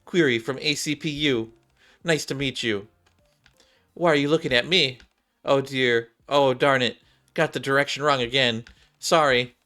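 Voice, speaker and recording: A man voicing a gentle, timid policewoman from a game, earnest, mild and apologetic. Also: mid-range voice, unhurried, pauses often.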